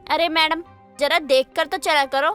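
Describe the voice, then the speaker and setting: A young wife in an audio story drama, acted by a woman. Her voice is high and bright, with an emotive delivery. Music plays under her lines. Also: steady tone, measured pace. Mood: irritated. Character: lonely, pleading young wife, affectionate but easily irritated. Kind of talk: Hindi moral-story audio drama acting.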